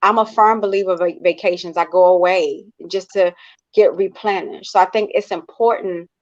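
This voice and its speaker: An American woman in a casual panel discussion, speaking in an earnest, expressive, conversational way. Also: lowish voice, moderate pace. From United States. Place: online video call. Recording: dull, lo-fi online call.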